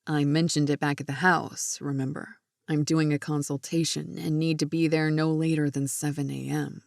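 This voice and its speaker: A woman voicing a sober, businesslike man with a low, measured, firm tone in an audiobook. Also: level tone, vocal fry, no room sound, studio quality. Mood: calm, firm.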